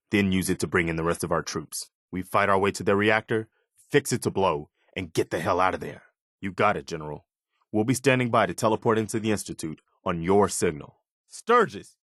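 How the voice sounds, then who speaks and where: An actor playing an earnest, principled and loyal soldier in a video game, speaking in a sincere, plain-spoken way. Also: male voice, on the low side, dry recording, studio quality. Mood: steady, cooperative, then urgent. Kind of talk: video game companion voice lines.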